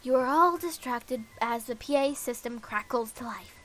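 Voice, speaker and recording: A woman's voice: a little high, normal speed, a bit bright. A woman streaming a visual novel, reading it aloud with playful asides and bits of nonsense scatting. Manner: playful, scatting nonsense syllables and muttering to self. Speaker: game streamer.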